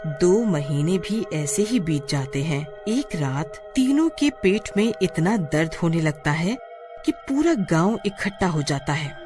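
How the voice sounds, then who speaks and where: A woman's voice: fairly low pitch, big pitch swings, a little slow, darkish tone, faint music behind. A woman reading scripted narration for a cartoon story.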